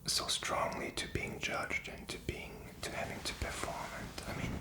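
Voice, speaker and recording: A man's voice: normal speed, sharp tone, reverberant. A man whispering in a rambling, reflective and informal ASMR style, feeling his way through his thoughts. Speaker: ASMR content creator.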